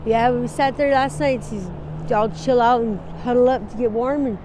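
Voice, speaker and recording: Woman in her forties, halting rambling talk, outdoors, faint traffic. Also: fairly high pitch, steady tone. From Wyoming. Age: 40s. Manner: rambling, halting, laughs easily. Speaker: interviewee. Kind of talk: candid street interview. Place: outdoors on a city street.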